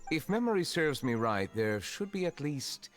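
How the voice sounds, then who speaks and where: A man voicing a courteous, reserved, centuries-old chief justice in a video game, speaking formally and with measured composure. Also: on the high side, sing-song, soft background music. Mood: composed. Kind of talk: English video game dub acting.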